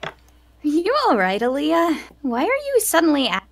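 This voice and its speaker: A caring young female adventurer, played by a woman in a visual novel, who likes to tease her friend. Her voice is high and very lively in melody, and sounds a bit distant from the mic. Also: moderate pace, vocal fry, exaggerated voice. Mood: concerned. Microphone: online voice call.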